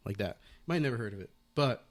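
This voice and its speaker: A man's voice: mid-range voice, animated. Rambling young man hosting a podcast. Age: 20s. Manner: rambling, playful, quick bursts of speech. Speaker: podcast host. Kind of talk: casual interview chat.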